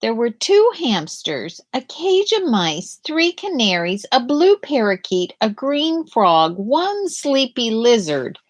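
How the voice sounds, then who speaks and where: A woman with a fairly high voice reads a children's story aloud. Her pitch moves widely and expressively. She takes her time, phrasing each part deliberately for young listeners. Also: sing-song. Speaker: storyteller reading a picture book aloud.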